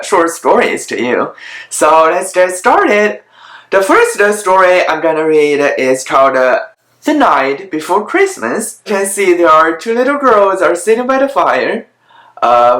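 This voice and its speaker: A storyteller reading children's stories aloud in a halting, deliberate way, with simple conversational asides. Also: mid-range voice, fairly bright tone. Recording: roomy, echoing home recording.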